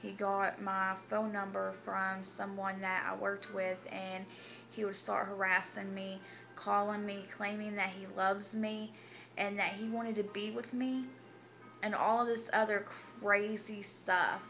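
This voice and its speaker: A woman, telling her personal story to camera in a halting, hesitant way with many restarts. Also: medium pitch, flat delivery, a bit bright, faint music behind. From Tennessee. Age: 20s. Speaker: vlogger. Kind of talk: personal confessional vlog.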